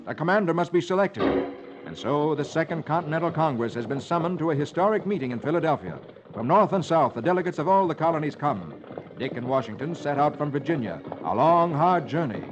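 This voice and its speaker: A man's voice: on the high side, animated, fast. A man narrating comic-strip stories as host, with theatrical delivery in 1950s radio style. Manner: theatrical storytelling. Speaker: host reading comics aloud. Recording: muffled old radio recording, music behind. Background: music.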